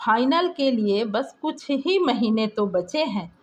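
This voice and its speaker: A woman voicing an ambitious, self-centred young son in an audio story, smoothly reassuring and making excuses. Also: on the high side, lively intonation, slow pace. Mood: evasive, assured.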